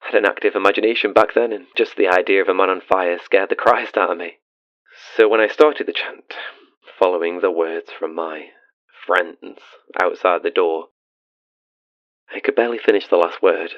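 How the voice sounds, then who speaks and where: A man's voice: deep voice, fairly even intonation, normal speed, no room sound, highs cut off. A man playing an awkward, earnest, haunted radio caller making a hesitant confession in an audio drama. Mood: haunted, fearful. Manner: hesitant, self-deprecating, earnest.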